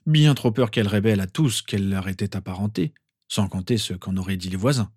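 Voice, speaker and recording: A man's voice: on the low side, fairly quick, a little mellow, breathy edge, no room sound. An earnest teenage wizard from a storybook, played by a man, a little awkward and hesitant as he explains. The recording is dry. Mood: matter-of-fact. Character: earnest, awkward seventeen-year-old wizard boy.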